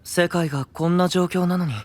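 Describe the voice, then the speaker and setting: Man as an enigmatic, fairly high-voiced young knight. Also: fairly even intonation, moderate pace, darkish tone, close to an everyday voice. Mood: quietly troubled. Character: gentle-spoken, enigmatic, idealistic young male knight leader. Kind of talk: anime-style voice drama acting.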